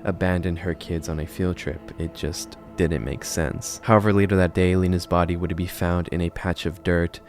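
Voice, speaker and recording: A man's voice: deep voice, level tone, moderate pace, hardly any pauses, a little mellow. A man narrating a horror video essay in a conversational, storytelling way. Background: background music.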